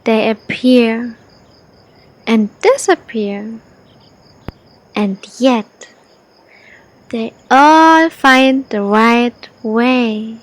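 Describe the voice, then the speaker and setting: Woman, high voice, extremely slow pace, meditation guide, deliberate pauses, gentle instruction. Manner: slow, deliberate, spaced-out short phrases.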